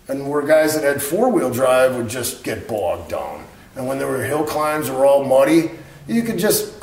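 A man's voice: fairly high pitch, vocal fry. A middle-aged American man with a Midwestern accent, telling anecdotes casually in a small workshop. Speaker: vlogger telling stories. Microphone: camera a few feet away. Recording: echoey room, distant camera microphone.

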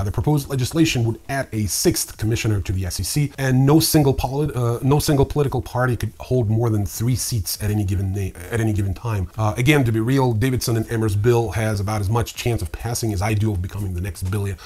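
A man in his fifties with a husky voice, hosting a news commentary to camera with dry, sardonic humour. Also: on the low side, a little mellow. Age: 50s. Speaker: host of a crypto newsreel. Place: small indoor study with bookshelves.

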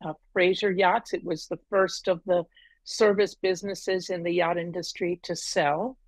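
A woman's voice: lowish voice, little pitch movement, normal speed, dry recording. A middle-aged woman, a guest on a video call, telling her story at length with many ums and uhs. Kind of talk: casual interview. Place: room at home, green wall.

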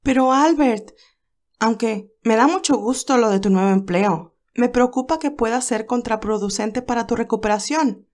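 A woman voicing a cheerful but anxious young nurse who talks in a lively, hesitant way. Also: a little high, moderate pace, close to an everyday voice. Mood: worried.

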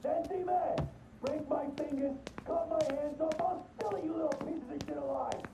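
A captive American mobster in a crime game, pitched high. He is defiant and foul-mouthed, taunting his captors. The sound is echoey, with clear noise around it. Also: steady tone, normal speed. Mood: furious, taunting. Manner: belligerent, taunting. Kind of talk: shouted game dialogue. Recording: distant, echoey, noisy game scene.

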